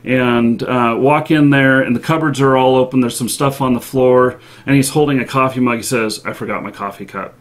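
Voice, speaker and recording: A man hosting a live stream in an unscripted, chatty way, speaking haltingly with long pauses. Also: medium pitch, steady tone. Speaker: live show host.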